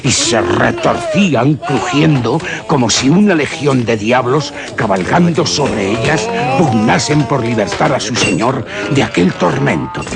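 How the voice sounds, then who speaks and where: Gravelly, ominous storyteller, music behind. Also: male voice, mid-range voice. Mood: tense, horrified. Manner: solemn, deliberate, dramatic. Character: grave male storyteller of an old devil legend, solemn and ominous. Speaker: narrator. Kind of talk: scripted narration, suspense radio theatre. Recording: background music under radio narration.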